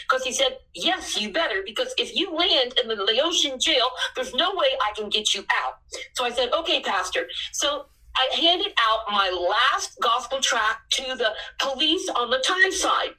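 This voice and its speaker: Bright-voiced woman in her sixties. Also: high-pitched, far from the mic, rough recording. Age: 60s. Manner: earnest storytelling with bursts of excitement. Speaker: missionary.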